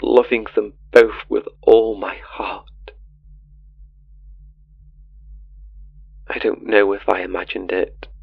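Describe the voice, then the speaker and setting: An actor playing a middle-aged father in an audio drama, wistfully confessing a tender, haunting ghost story in a hushed way. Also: fairly low pitch, vocal fry, slightly rough, muffled recording, heavily acted. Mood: haunted, tender. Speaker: caller to a radio show.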